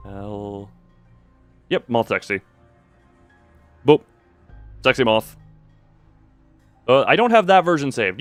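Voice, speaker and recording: A man hosting a livestream, rambling casually and jokingly as he goes off on tangents and talks back to chat. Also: mid-range voice. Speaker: livestream host, streamer.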